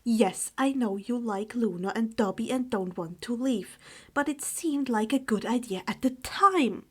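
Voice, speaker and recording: A woman's voice: fairly high pitch, expressive, unhurried, fairly bright tone, slightly rough, full character voice. A woman voicing a kind, earnest and apologetic teenage boy wizard. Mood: soft, apologetic.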